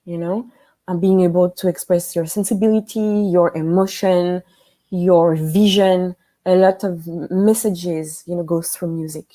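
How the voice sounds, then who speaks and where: A woman from France with a French accent, a guest speaking thoughtfully on a video call, pausing often and using fillers. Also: lowish voice, warm tone. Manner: thoughtful, with frequent pauses and fillers. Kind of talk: conversational interview. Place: home room with a piano.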